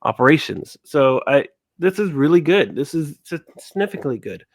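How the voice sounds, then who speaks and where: A man giving casual financial analysis as a panelist, explaining in a halting way. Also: fairly high pitch, sing-song, dry recording, studio quality.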